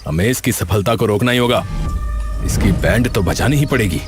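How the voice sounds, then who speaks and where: A man's voice: on the low side, lively intonation, moderate pace, natural voice. Cartoon village shopkeeper, played by a man: envious, plotting, bitter grumble over a music bed. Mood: bitter, scheming. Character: jealous, scheming village shopkeeper.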